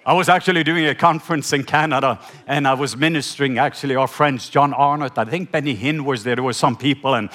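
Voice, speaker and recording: A middle-aged man from Norway with a Norwegian accent, preaching a sermon. He slows down to read scripture and has the congregation repeat key words back. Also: medium pitch, fairly even intonation, fairly bright tone. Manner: slows down when reading scripture, prompts the audience to repeat words. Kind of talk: sermon with scripture reading and call-and-response.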